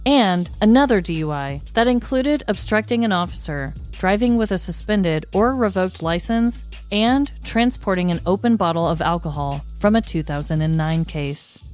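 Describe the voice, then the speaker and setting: Lowish-voiced woman narrating over faint music. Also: darkish tone. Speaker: podcast host. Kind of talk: scripted true-crime story narration.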